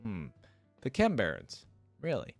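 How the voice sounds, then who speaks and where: A man's voice: on the low side, huge pitch swings, unhurried, creaky, dry recording, faint music behind, full character voice. A man reads the part of a timid young boy in a storybook. He is curious and hesitant and answers briefly, in a dry, close recording. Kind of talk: storybook read-aloud.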